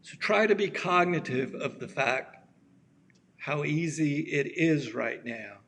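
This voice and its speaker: Slow, raspy-voiced man preaching gently. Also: a little high, slight background noise. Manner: gentle, halting, with many pauses and fillers. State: allergies acting up. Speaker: pastor. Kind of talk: slow reflective sermon.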